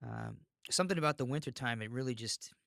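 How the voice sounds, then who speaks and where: Blunt podcast guy, bright forceful voice, rambles and swears a lot, dry sound. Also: mid-range voice, quick pace, gravelly, dry recording. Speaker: podcast host. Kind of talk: casual podcast rant and monologue.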